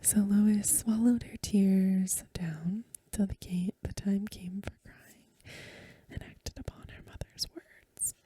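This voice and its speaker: A woman reads a story in a hushed ASMR style. Her voice is whispery and very airy, with a mellow, dark tone. The phrasing is gentle and measured, and the sound is dry and close. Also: mid-range voice, fairly even intonation, no echo. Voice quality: breathy, whispery soft voice. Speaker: ASMR reader. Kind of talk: soft-spoken ASMR storybook reading.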